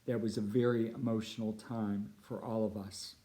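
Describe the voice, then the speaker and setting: A middle-aged man with a Californian American accent, a priest giving a prepared appeal talk in measured, deliberate phrases. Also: lowish voice, level tone, mellow. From United States (Chicago and Southern California).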